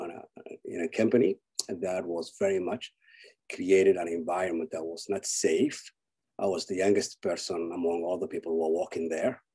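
A man, giving an earnest keynote speech over a video call, halting with frequent fillers. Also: low-pitched, warm tone, slightly rough, rough recording. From Somalia. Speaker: keynote speaker. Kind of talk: personal speech.

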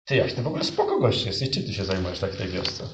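A man's voice: on the low side, huge pitch swings, fast, pitched below the performer's own voice. A man playing a laid-back, chatty toad who talks in a colloquial, matter-of-fact way in improvised fairy-tale comedy. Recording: echoey live room, muffled and noisy.

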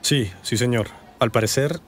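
An actor with a Colombian accent playing a cautious, concerned and polite radiologist in a radio drama. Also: male voice, mid-range voice, normal speed, natural voice.

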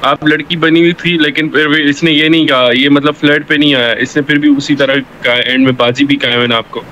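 A man with a fairly high voice banters casually with his gaming squad. There is a light layer of noise behind him on the voice chat. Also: steady tone, fairly quick, sharp tone, lo-fi sound.

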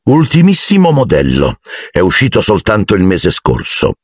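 A man's voice: fairly low pitch, wildly swooping pitch, moderate pace, slightly rough, no room sound, studio quality, full character voice. A man voicing an arrogant teenage team captain with an offhand, scornful tone. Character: arrogant teen sports captain, cool and scornful.

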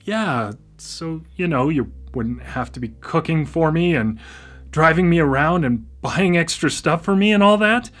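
A defensive, dependent brother in a radio play, his voice high for a man and very animated in pitch. He starts haltingly and stammers, eager to stand on his own, with light music in the recording. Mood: nervous, tentative. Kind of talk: naturalistic live radio drama acting.

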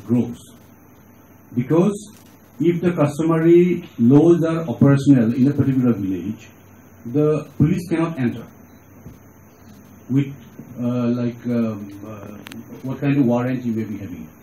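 Very mellow Indian man on a panel. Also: mid-range voice. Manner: halting, deliberate. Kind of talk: explanatory panel remarks. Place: auditorium, seated at panel table. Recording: dull, noisy, echoey hall recording.

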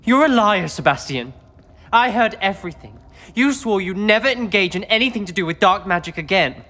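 Grave, reproachful British schoolboy wizard. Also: male voice, sits high, lively intonation, normal speed, bright, forceful tone. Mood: accusing, upset. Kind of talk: video game cutscene acting.

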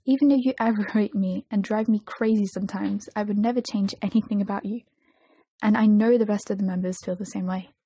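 A woman's voice: mid-range voice, fairly even intonation, fairly quick, dark tone, slightly rough, no room sound. A woman voicing an earnest, caring young male pop idol in a story, insistent and reassuring in manner. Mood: calm, reassuring.

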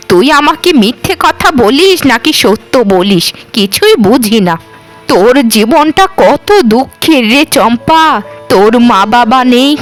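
A woman voicing an elderly lady of the house in a cartoon, nagging but fond, with drawn-out phrases. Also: high-pitched, a bit bright, full character voice. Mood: concerned. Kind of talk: cartoon voice acting.

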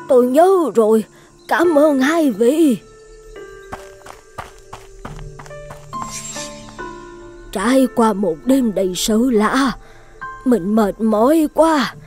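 A woman voicing a kindly, anxious old village midwife in an animated fairy tale, with an earnest, drawn-out delivery. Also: fairly high pitch, soft background music. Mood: humble. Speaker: voice actor.

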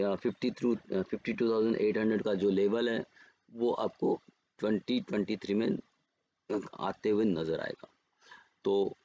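Indian man calmly reading market levels. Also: lowish voice, lo-fi sound. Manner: unhurried, pauses and self-corrects while reading levels. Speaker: trading educator. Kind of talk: market analysis over charts. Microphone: screen recording voice-over.

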